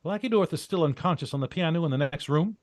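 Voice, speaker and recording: A man's voice: fairly high pitch, expressive, no echo, studio quality, natural voice. A man playing a cool, commanding American troubleshooter in a hard-boiled 1940s-style radio drama. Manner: brisk, wry, hard-boiled.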